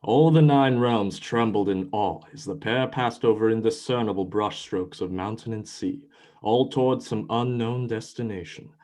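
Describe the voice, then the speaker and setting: A man's voice: on the low side, moderate pace, darkish tone. A man narrating a mythological play in a scripted, dramatic storytelling style.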